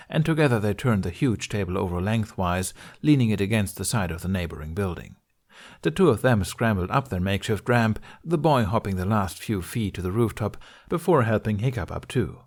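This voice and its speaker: Low-voiced man reading a story. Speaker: podfic narrator. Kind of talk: scripted story narration, audiobook-style reading.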